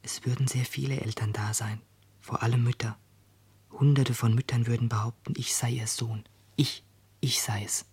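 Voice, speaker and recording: An actor playing a brooding, blunt teenage boy in a radio play, speaking low-key, hushed and terse. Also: little pitch movement, moderate pace, breathy edge. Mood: brooding, insistent. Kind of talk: intimate radio play dialogue.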